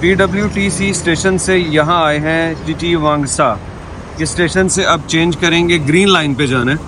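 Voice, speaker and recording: A man's voice: on the high side, moderate pace. A man in his thirties with a Pakistani accent, chatting casually to viewers as a travel vlogger, filming himself on a phone. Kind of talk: casual vlog narration to camera. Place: busy restaurant and open train station. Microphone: phone at arm's length. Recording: noisy restaurant and street ambience.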